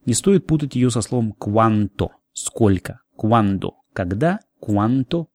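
A man's voice: fairly low pitch, expressive, takes it slowly, darkish tone, no echo, studio quality. A man narrating a language lesson as the teacher. He explains new words, then repeats and translates them.

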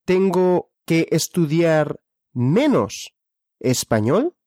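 A speaker leading a question-and-answer language drill. The speaker asks each question, then answers it deliberately. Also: mid-range voice, very animated, mellow, dry recording, studio quality.

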